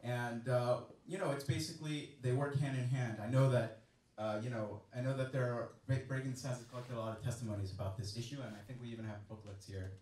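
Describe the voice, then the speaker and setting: A man's voice: medium pitch, fairly even intonation, quick pace, darkish tone. A man on a panel answering an audience question, hesitant, with many fillers and restarts. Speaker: panelist, former soldier. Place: large indoor event room. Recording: echoey hall, far from mic.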